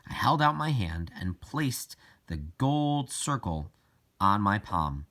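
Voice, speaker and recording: A man reading a children's novel aloud as scripted narration, with deliberate, halting phrasing. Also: on the low side, very animated, a bit bright. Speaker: audiobook reader.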